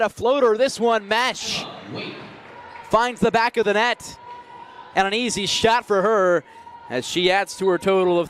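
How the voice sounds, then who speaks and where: Young male radio play-by-play announcer, high-pitched, energetic, descriptive basketball commentary. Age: young adult. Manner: energetic, descriptive call of the action. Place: college basketball arena, live courtside call.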